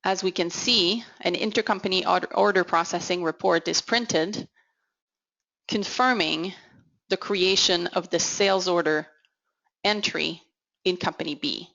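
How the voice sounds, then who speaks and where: A woman giving an instructional software walkthrough as a consultant, in a measured, explanatory way. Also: lowish voice, vocal fry. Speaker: technical consultant presenting a software demo. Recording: dull, lo-fi audio. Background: voice-over for a screen recording.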